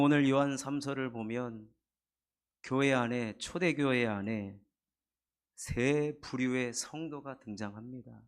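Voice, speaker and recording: A middle-aged man preaching a Sunday sermon in a church, his voice hoarse and husky from a cold, building earnest emphasis. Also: medium pitch. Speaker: pastor. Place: church sanctuary.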